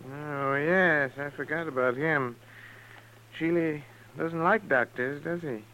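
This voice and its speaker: An actor playing a weary, kindly frontier doctor in a 1950s radio western, with a hesitant, folksy way of talking. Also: male voice, on the high side, takes it slowly, sharp tone, full character voice. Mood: concerned. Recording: dull, noisy old radio recording.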